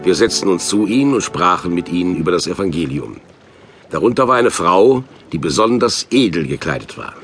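A man playing a physician who narrates Bible adventures in a measured, reflective way for a children's audio drama. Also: low-pitched, big pitch swings, fairly bright tone, soft background music, heavily acted. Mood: solemn, narrating.

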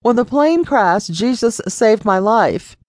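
A woman's voice: fairly high pitch, expressive, slow, darkish tone, no echo, studio quality, pitched above the performer's own voice. A woman voicing a young daughter who speaks earnestly and firmly about her faith. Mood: resolute. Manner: earnest and resolute.

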